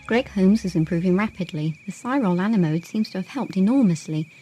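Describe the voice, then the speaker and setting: A woman's voice: mid-range voice, expressive, fairly quick, lightly acted. A woman playing a medical log-keeper in an audio sci-fi drama, giving a formal report. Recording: loud music over the voice.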